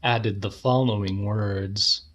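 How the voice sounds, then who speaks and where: A man narrating literary horror as a dramatic audiobook, measured, deliberate and grave. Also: fairly low pitch, fairly even intonation.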